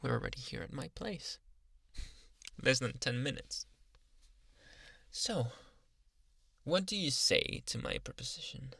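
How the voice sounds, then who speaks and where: Gravelly, obsessive yandere boyfriend played by a man. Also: medium pitch, animated, no echo, clean studio sound, full character voice. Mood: coaxing, playful. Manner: coaxing, self-satisfied, halting delivery with soft whispered asides. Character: obsessive yandere young male Uber driver, doting, smugly cheerful kidnapper. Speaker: ASMR roleplay performer. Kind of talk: intimate ASMR boyfriend roleplay.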